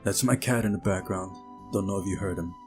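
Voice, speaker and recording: A man's voice: low-pitched, brisk. A man reading a visual novel aloud as narration, with casual asides of his own. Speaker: let's play narrator. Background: game music.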